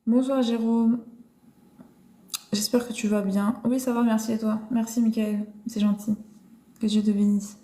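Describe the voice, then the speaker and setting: Woman host, live-stream Bible chat, mellow tone, halting and searching, echoey room. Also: a little high, flat delivery. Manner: thinks aloud with many restarts and fillers. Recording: roomy echo.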